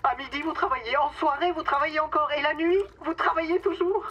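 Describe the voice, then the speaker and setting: A woman's voice: high-pitched, steady tone, a little fast, a bit bright, far from the mic, lo-fi sound, a little hiss or hum. An actress playing a domineering, cynical female boss in a satirical radio drama, condescending and theatrical, with mock sweetness. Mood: gleeful, cynical.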